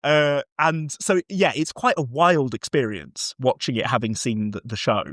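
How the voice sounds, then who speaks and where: A man chatting casually about film in an animated, conversational way. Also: mid-range voice, normal speed, no room sound, clean studio sound. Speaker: podcast host.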